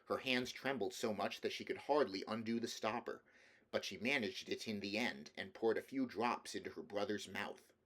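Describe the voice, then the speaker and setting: Male audiobook reader, low register, scripted storybook narration. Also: normal speed, a bit bright.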